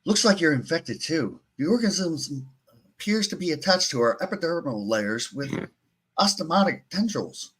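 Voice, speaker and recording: A starship doctor, acted by a man in a sci-fi radio play. The voice is notably high for a male. Delivery is sober and clinical, laying out findings step by step. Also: lively intonation, normal speed, fairly bright tone, breathy edge, rough recording. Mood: clinical, grave. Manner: clinical, explanatory. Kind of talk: podcast live script reading.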